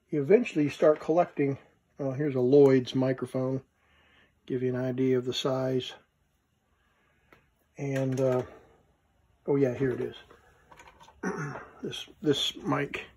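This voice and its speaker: A man who collects vintage gear gives a casual, unscripted hands-on demonstration, thinking aloud in halting short fragments. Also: mid-range voice, darkish tone, slight background noise. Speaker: vintage electronics collector presenting his item.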